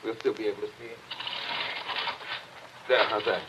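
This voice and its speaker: A man playing a calm, courteous family friend, protective and dryly soothing, in a 1940s radio drama. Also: on the low side, moderate pace, close to an everyday voice. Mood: soothing, firm. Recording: noisy, dull 1940s radio recording.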